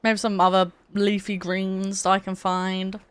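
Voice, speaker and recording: A woman's voice: mid-range voice, little pitch movement, measured pace, clean tone, no echo. A woman livestreamer chatting casually while gaming, with a sweary, joking and rambling manner. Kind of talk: casual game stream chat. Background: game music.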